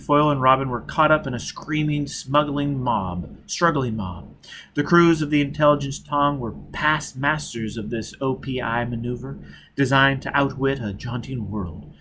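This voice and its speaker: A man's voice: medium pitch, rough recording. A man reading a novel aloud as dramatic scripted narration. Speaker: audiobook narrator. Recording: steady background noise.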